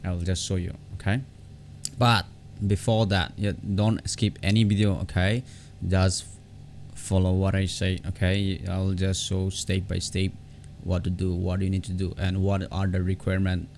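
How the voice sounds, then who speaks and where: Male tutorial vlogger, very low creaky voice, informal and halting, repeats himself, faint background noise. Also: completely flat delivery, darkish tone. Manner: halting, repeats words and phrases. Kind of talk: informal step-by-step software tutorial. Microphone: separate desktop condenser microphone.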